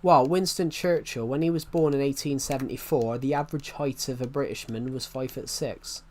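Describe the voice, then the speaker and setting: A man's voice: mid-range voice, fairly even intonation, normal speed, darkish tone, a little hiss or hum. Rambling young man who repeats himself. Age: 20s. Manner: rambling, repeats each phrase before going on. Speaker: streamer. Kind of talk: rambling casual livestream chat.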